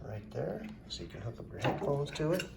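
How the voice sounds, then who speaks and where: A man's voice: medium pitch, sing-song, fairly quick, vocal fry. A man giving a casual, plainspoken hands-on product demonstration in a folksy way. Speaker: product reviewer. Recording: loud background noise, vehicle ambience.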